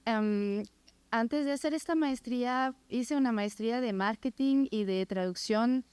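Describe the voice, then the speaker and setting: A woman's voice: fairly high pitch, unhurried, darkish tone, smooth, dry recording. A woman in her twenties from France with a French accent, a non-native speaker giving a prepared academic presentation as a student. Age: 20s. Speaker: master's student presenting research.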